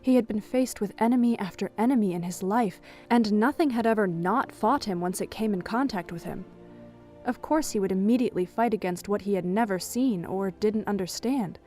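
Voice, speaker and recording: A woman's voice: medium pitch, a little fast, darkish tone. A woman reading scripted third-person narration for an audio drama as its storyteller. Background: music.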